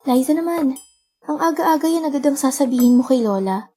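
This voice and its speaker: A woman playing a gentle, caring teenage girl in a radio drama, earnest and politely respectful. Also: sits high, expressive, a little fast. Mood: chiding, cheerful. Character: gentle, caring, studious teenage twin sister. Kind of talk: dramatic radio play acting. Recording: music behind the voice.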